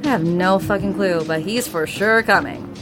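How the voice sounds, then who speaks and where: An actress playing a driven young band member in an audio drama, casual and sarcastic. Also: female voice, medium pitch, moderate pace, music in the background, lightly acted. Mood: discouraged.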